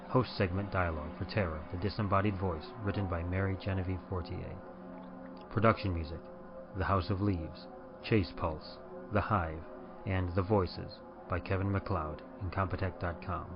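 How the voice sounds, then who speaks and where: A man playing an ominous train conductor, narrating in a measured, theatrical old-time radio horror style. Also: sits low. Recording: dull lo-fi sound under music.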